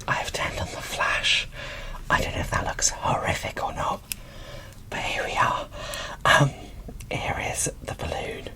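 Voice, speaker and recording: A woman whispering casual, gushing ASMR commentary as she presents an unboxing, in a breathy, informal way. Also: rumbling low, bright, forceful tone, low-quality recording.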